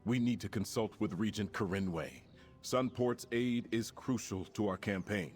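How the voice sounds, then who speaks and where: An actor playing a resolute, formal male commander in a fantasy video game, speaking in a measured, stately way over music. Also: lowish voice, close to an everyday voice. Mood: grave, determined. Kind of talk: scripted game dialogue.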